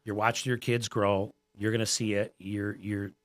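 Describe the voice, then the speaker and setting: A man's voice: lowish voice, flat delivery, moderate pace. Earnest American man in his forties. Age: 40s. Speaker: podcast guest, gym owner and coach. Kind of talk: casual podcast conversation.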